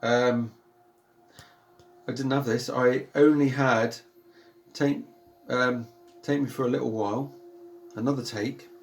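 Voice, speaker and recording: Calm, gravelly British man chatting. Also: medium pitch, slow, long pauses. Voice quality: calm, soothing voice. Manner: rambling and chatty, with many ums and self-corrections. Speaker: vinyl collector and channel host. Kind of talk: casual unboxing chat.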